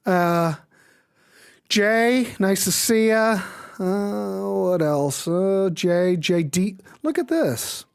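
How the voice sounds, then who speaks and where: Man, high voice, live stream host, casual music commentary, enthusiastic, rambling, informal. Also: expressive, extremely slow, vocal fry, clear, resonant tone.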